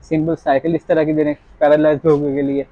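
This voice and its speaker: A young man giving a casual, polite sales pitch, quoting products and prices as a shop salesman. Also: little pitch movement, fast, dark tone, no room sound, lo-fi sound. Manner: polite, addresses customer as sir. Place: small tiled fitness equipment shop, indoors.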